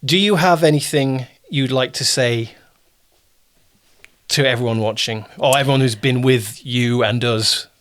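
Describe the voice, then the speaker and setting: A man's voice: mid-range voice, a little slow, a bit bright. A man hosting a casual interview, speaking in an understated, somewhat hesitant way. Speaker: producer and interview host.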